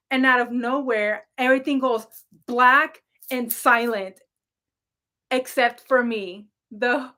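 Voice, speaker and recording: Woman livestream host, loud and rambling, higher-pitched casual storyteller. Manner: animated, rambling, laughing, frequent throat clearing. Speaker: livestream host, spiritual reader.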